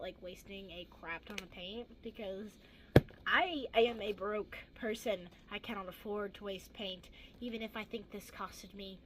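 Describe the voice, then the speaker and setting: A teenage girl with a hoarse, gravelly voice, chatting casually and rambling through a crafting vlog with self-deprecating asides. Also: mid-range voice, background noise. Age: teens. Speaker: craft vlogger.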